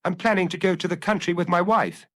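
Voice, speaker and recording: A man voicing a calm, probing middle-aged police chief in audiobook dialogue, deliberate and laconic. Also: high-pitched, no room sound, clean studio sound, voice pushed up. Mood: guarded.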